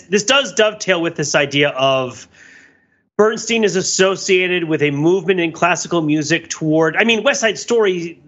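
Man, podcast panelist, sharp bright tone, raised pitch, rambling analysis, animated asides, slightly muffled compressed sound. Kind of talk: discursive film and music discussion.

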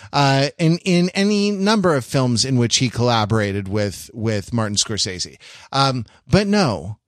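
A man's voice: mid-range voice, dry recording, clean studio sound. A man hosting a podcast in a casual, humorous chat, rambling wryly through mock-earnest digressions. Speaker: podcast host.

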